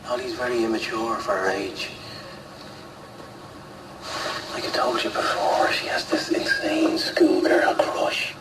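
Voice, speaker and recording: A man playing an evasive, self-justifying suspect in his thirties, speaking haltingly in a crime drama. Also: medium pitch, wildly swooping pitch, heavy background noise. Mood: defensive, pleading. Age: 30s. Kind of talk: naturalistic British crime drama acting.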